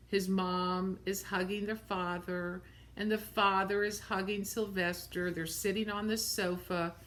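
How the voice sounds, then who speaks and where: Slow-paced woman reading to children. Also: mid-range voice, a bit bright. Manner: simple explanatory phrasing, as to young children. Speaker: storyteller reading a picture book aloud to children. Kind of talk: expressive read-aloud with asides about the pictures.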